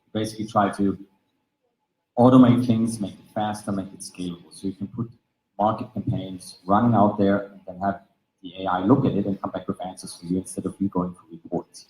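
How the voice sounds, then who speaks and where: Austrian German man presenting, low and echoey. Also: little pitch movement, a little fast, darkish tone, a little hiss or hum. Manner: explanatory, fillers like "basically" and "right?". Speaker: conference speaker. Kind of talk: conference presentation. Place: conference venue.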